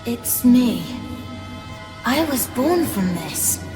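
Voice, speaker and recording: High, wistful ship spirit. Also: female voice, very animated, extremely slow, over music, heavily acted. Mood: awed. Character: youthful, questioning, wistful female spirit of a living ship. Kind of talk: video game dramatic acting.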